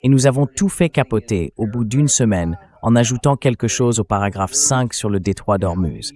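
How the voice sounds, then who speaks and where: Low-voiced man hosting an interview. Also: a little mellow. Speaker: show host. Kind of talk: translated interview voice-over.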